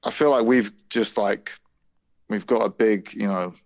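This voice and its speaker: A man from the UK, a guest musician, talking in a relaxed, modest way and sounding tired. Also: lowish voice, measured pace, dry recording. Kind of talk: casual interview chat.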